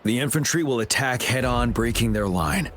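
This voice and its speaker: A man's voice: medium pitch, lively intonation, a bit bright, slight background noise, close to an everyday voice. Commanding, composed military general played by a man. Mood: commanding, resolute. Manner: measured, commanding. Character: composed, resolute male general briefing his troops. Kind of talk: video game cutscene acting. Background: background music.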